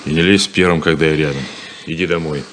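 An actor playing a gruff, bossy grown elder brother in a 1970s radio play, curt and commanding. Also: male voice, extremely low pitch, fairly even intonation, quick pace, fairly bright tone, slight background noise. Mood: gruff, scolding.

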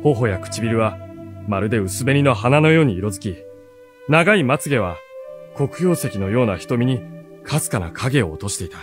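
An actor playing an observant young companion who narrates an adventure in a dramatic, scripted radio style. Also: male voice, mid-range voice, animated, normal speed, music in the background, close to an everyday voice. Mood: lyrical narration.

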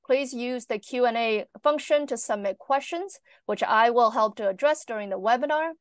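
A woman moderator opens a medical webinar, reading speaker bios and housekeeping notes in a measured, formal way. Her voice sits a little high. The video-call sound is dry. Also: no room sound. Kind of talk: read introduction.

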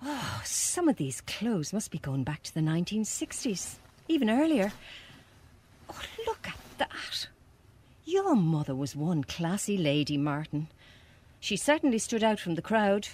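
An actress playing a friendly, teasing charity shop worker in a radio play, chatty and playful, with an Irish accent. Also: female voice, medium pitch, big pitch swings, a little slow, a little mellow, slightly rough. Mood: chatty, admiring. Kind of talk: radio play acting, naturalistic dialogue.

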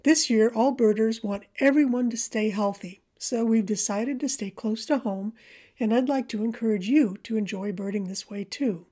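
A woman reading a scripted voice-over about nature in a gentle, measured storytelling manner. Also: fairly high pitch. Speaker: presenter of a backyard birding video for a library.

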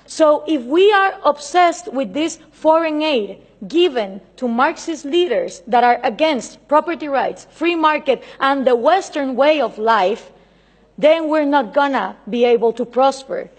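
A woman's voice: sits high, lively intonation, measured pace. A woman in her thirties from Central America, speaking English with a Central American Spanish accent, giving an emphatic political speech at a panel into a table microphone in a large conference hall. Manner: emphatic and insistent, gesturing a lot. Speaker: invited speaker.

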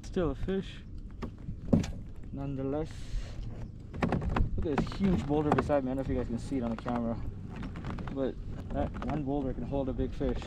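A man's voice: mid-range voice, fairly even intonation, moderate pace, long pauses. Man in noisy outdoor audio. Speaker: fishing vlog host. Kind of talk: casual narration to camera while fishing. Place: outdoors in a kayak on a lake. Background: water and kayak noise.

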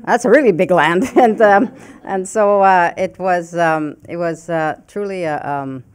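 A woman in her sixties from northern Saskatchewan whose first language is Cree, speaking as a political scientist on a panel in a halting way full of fillers and restarts, into a desk microphone on a conference stage. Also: lowish voice, smooth. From northern Saskatchewan, Canada. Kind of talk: panel discussion remarks.

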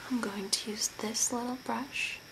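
A woman whispering softly and breathily in a soothing, deliberate ASMR role play, close to the mic. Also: fairly high pitch, steady tone. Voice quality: breathy, mostly unvoiced whisper. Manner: soft, deliberate, soothing with short phrases. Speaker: ASMR performer playing a zombie makeup artist. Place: small curtained room at home. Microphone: close to the mic at a desk. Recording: clear background noise, room ambience.

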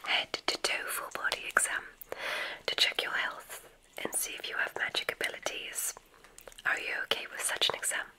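A woman's voice: close to an everyday voice, rough recording. Whispering witch dean played by a woman. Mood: welcoming, theatrical. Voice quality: breathy whisper. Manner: genteel, unhurried, welcoming. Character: gracious, whimsical witch dean of a magic school. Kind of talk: ASMR medical roleplay.

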